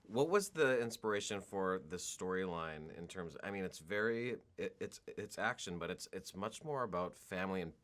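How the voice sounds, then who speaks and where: A man's voice: low voice, animated, normal speed, hardly any pauses, a bit bright. A man interviewing guests for an awards website, asking loose, conversational questions.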